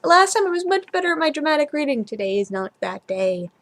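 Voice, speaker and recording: A woman's voice: high voice, big pitch swings, fairly quick, fairly bright tone. A woman vlogger chatting casually and reading a story aloud informally, sometimes stumbling over her words.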